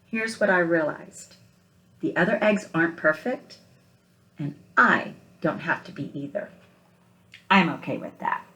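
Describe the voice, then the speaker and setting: A woman voicing a kindly, earnest male egg in an expressive children's storybook read-aloud, with emphatic, deliberate phrasing. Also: lowish voice, poor audio. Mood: content.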